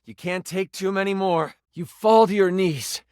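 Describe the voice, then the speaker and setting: A man's voice: high-pitched, normal speed, darkish tone, smooth, dry recording, studio quality, lightly acted. A man playing a playful, dramatic game master who narrates a tabletop fantasy adventure in a video game. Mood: dramatic, engaged. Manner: theatrical second-person storytelling with casual asides.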